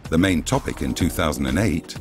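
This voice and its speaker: A man reading a scripted promotional voice-over as a documentary narrator, in a measured, formal and informative way. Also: extremely low pitch, animated. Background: music bed.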